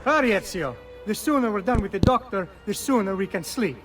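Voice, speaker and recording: A man's voice: high-pitched, lively intonation, fairly quick, over music. An actor playing a teasing, easygoing young Florentine nobleman and older brother, with an Italian accent, in a video game. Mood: amused, brisk. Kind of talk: video game character acting.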